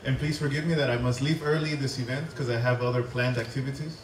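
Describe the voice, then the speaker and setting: A man working as an interpreter, rendering a speech into English with a brisk, plain delivery. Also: mid-range voice, steady tone. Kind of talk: consecutive interpreting. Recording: echoey room from far off.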